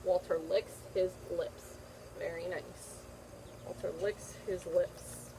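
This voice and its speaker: A woman's voice: fairly low pitch, flat delivery, takes it slowly, noisy background. A woman teacher reading a picture book aloud to young children, repeating phrases and checking in with simple questions.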